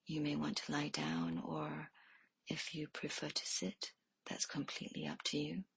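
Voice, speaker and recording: A woman leading a guided meditation in a soft, hushed way, leaving pauses between phrases. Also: low-pitched, very animated, creaky, slightly rough, dry recording.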